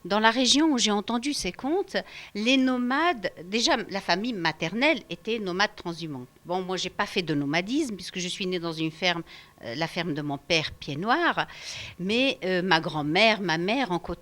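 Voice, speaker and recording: A woman's voice: mid-range voice, normal speed. A woman from Algeria, a storyteller being interviewed for radio at a festival, talking with many hesitations and fillers. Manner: hesitant, many fillers, warm laughter when animated. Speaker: storyteller guest.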